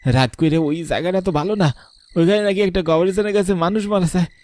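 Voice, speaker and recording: A man's voice: high voice, fast talker, darkish tone, close to an everyday voice. A man playing a concerned, superstitious villager in a horror cartoon, earnest and cautioning, with a rural colloquial Bengali accent.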